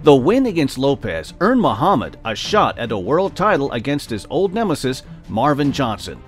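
A man narrating a boxing documentary in a measured storytelling manner. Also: medium pitch, very animated, music in the background.

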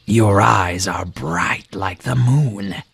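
A man voicing a sly, flattering fox in a children's fable, with a low, gravelly, husky voice and drawn-out delivery. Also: slight background noise, full character voice. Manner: slow, drawn-out, smooth-talking flattery. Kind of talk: children's storybook character acting.

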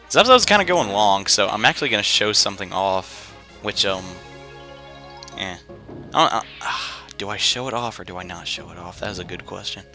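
A young man with a slightly low, very sharp and bright voice hosts a let's play. He rambles casually in slang and drops jokey asides. Faint game music plays underneath him. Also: lively intonation, fairly quick. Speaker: gaming video host.

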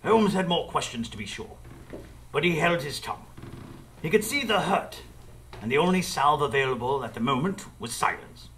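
Man acting a lively, gentlemanly storyteller. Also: fairly high pitch, a little hiss or hum. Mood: composed. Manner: measured, literary storytelling. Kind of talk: scripted radio drama narration.

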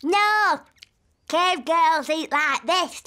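Wilful little cartoon girl, high-pitched, very slow emphatic delivery. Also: noisy background, heavily acted. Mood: wilful, defiant. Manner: emphatic, drawn-out childish declarations. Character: bold, wilful, excitable little cartoon princess.

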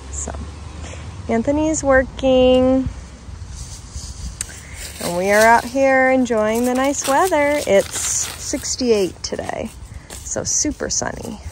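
A woman's voice: high-pitched, takes it slowly, long pauses. An American woman in her thirties chatting casually to a handheld phone as a parent vlogger. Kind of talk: casual vlog chat. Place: indoors at home, then outdoors in yard and driveway. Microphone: handheld phone camera. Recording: clearly noisy background.

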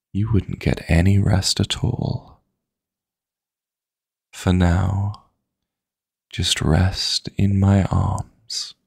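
Male actor as dream demon lover, heavy vocal fry, breathy and husky, seductive, tender, possessive, intimate audio roleplay, very dry close-mic sound. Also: no echo, full character voice. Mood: tender, teasing. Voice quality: gravelly, husky, breathy low voice. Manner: slow, flirtatious, murmured endearments. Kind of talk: intimate romantic sleep-aid audio roleplay. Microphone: close, dry studio-style microphone.

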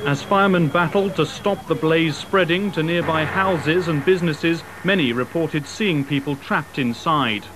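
Male news reporter, slightly high voice, even delivery, noisy old broadcast audio. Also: normal speed, few pauses. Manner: even, matter-of-fact reporting. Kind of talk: scripted news voice-over. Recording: old TV news with background noise.

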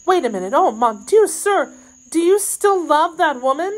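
A woman voicing a frightened, devoted young maid with a pleading, excitable manner in an audiobook. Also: very high-pitched, moderate pace, voice pushed up. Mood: alarmed.

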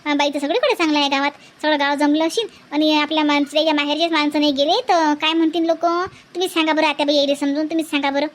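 A woman's voice: high-pitched, steady tone, very fast, hardly any pauses, lightly acted. A woman playing a pushy, touchy village daughter-in-law in a comedy cartoon, insistent and repetitive, with a Vidarbha Marathi accent. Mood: indignant, insistent. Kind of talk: exaggerated cartoon family comedy.

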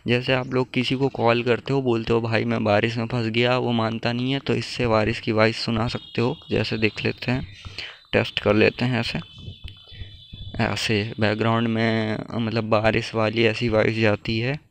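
A young man talks viewers through an app in an informal, buddy-like way. His voice is mid-pitched with little melody and a noticeable fry. The recording has clear background noise. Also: little pitch movement, moderate pace. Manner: informal, addresses viewers as bro. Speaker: tech tutorial YouTuber. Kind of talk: casual phone app tutorial.

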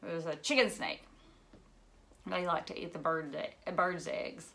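A woman in her thirties reading a children's book aloud like a teacher, with expressive asides and questions to young listeners. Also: lowish voice, measured pace, creaky, a little hiss or hum. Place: indoors, living room at home.

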